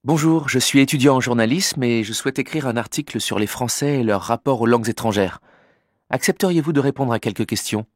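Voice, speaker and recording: A young male journalism student, played by a man puts formal, scripted questions politely. The voice has an average pitch and is recorded dry, as for a language-course interview. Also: a little fast, no room sound, natural voice. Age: young adult.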